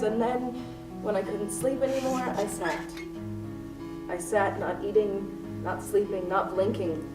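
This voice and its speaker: A woman in a student radio play acting a desperate, unhinged hostage-taker, melodramatic, moving between hushed recollection and frantic cries. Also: medium pitch, lightly acted. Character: unhinged, desperate female hostage-taker confessing her crimes. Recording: roomy, distant recording with music behind.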